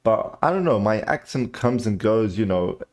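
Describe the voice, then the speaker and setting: A man's voice: lowish voice, lively intonation, moderate pace, darkish tone. Chatty man with a mixed British accent. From half British, half Cypriot. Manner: loose, chatty, says "bro" a lot. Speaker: reaction channel host. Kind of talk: casual reaction commentary.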